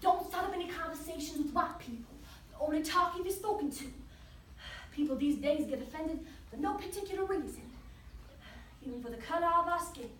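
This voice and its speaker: Young performer as a high-voiced grieving, devoted mother. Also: fairly quick, fairly bright tone. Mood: stern, worried. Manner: solemn, tender, dramatic storytelling. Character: grieving devoted mother remembering her lost son. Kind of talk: competitive dramatic interpretation monologue. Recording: roomy, distant mic, faint noise.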